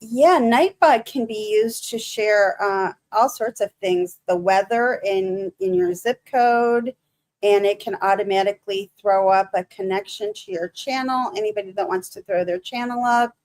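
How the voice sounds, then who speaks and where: A woman with a light Michigan accent talks to her livestream viewers at a mid pitch. She speaks haltingly, with frequent fillers and restarts. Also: lively intonation, darkish tone. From Michigan, USA. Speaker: livestream host. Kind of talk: casual livestream chat with viewers.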